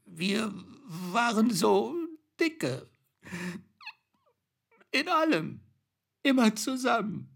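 A shady old business crony in a radio drama. His voice is gravelly and husky, and it swoops widely as he stretches his words with sentimental self-importance. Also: high voice, very animated, very slow, pauses often, a bit bright, exaggerated voice. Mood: proud, sentimental. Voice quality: raspy, creaky. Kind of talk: radio play acting.

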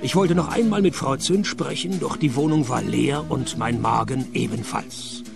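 An actor playing a cynical private detective who narrates a radio play in a dry, sardonic tone. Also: male voice, mid-range voice, moderate pace, vocal fry, music in the background. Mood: deadpan. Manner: deadpan, sardonic.

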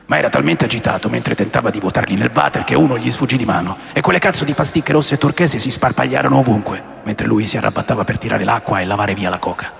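Touring rocker, heavy vocal fry, coarse storytelling, dramatic pauses, radio play, long reverb. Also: male voice, medium pitch, a bit bright. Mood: tense, rueful. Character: adult male rock musician recalling wild touring days. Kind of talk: radio drama monologue, first-person storytelling. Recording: long reverb, music under voice.

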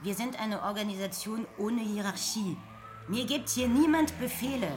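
A woman's voice: on the high side, moderate pace, background noise, close to an everyday voice. A woman playing a bossy, preachy guerrilla revolutionary in a German radio play, lecturing in political slogans. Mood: commanding, reassuring. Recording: music behind.

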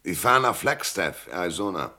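A man's voice: low-pitched, sing-song, moderate pace, bright, forceful tone, full character voice. An actor playing a stubborn, cool-headed male private detective in a German radio drama, laconic and hardboiled. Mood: resolute, cool.

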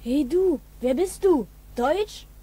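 A woman's voice: sits high, animated, slow pace, dark tone, dry recording. A woman playing a suspicious, blunt village boy in a 1960s German radio drama. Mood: suspicious, challenging. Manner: blunt, challenging. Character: suspicious, cheeky village boy, tough-talking child.

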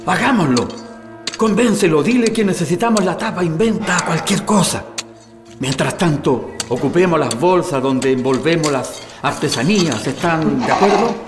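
A brisk male prisoner with a Chilean accent, urging comrades on , acted by a man in a radio drama. The voice is slightly high and echoey, with very loud music behind it. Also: lively intonation, natural voice. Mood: urgent, commanding.

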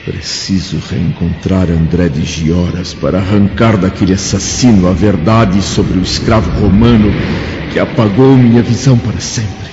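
A man's voice: low voice, fairly even intonation, normal speed. A Brazilian man acting a blind, wounded, dignified older Roman senator in a radio drama, with formal, solemn diction. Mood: bitter, anguished. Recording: dull lo-fi audio, music underneath.

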